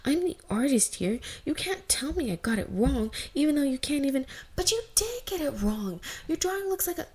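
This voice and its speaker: A woman voicing excitable, squabbling young girls in a fan fiction reading, with emphatic delivery. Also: sits high, normal speed, hardly any pauses, voice pushed up. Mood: bickering, indignant. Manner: bickering, emphatic.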